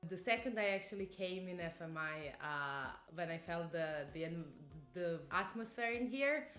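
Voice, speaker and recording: A woman speaks slowly and deliberately in a slightly low voice, pausing to find her words. Light music sits behind her in a roomy office. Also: lively intonation, a bit bright. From Croatia. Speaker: team executive. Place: office, seated indoors.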